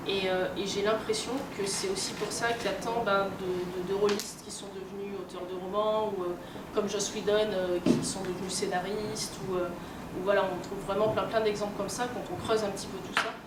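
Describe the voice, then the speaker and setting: A woman's voice: mid-range voice, level tone, brisk. A woman giving an informal conference lecture in a conversational, hesitant way. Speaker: conference speaker. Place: convention talk room. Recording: echoey room, distant mic, clear noise.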